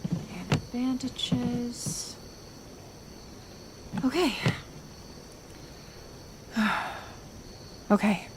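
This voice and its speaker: A woman playing a kind, stubborn healer in an intimate ASMR roleplay, speaking in a gentle, halting, soothing way that often slips into whispers. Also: a little high, noisy background. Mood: calm, careful.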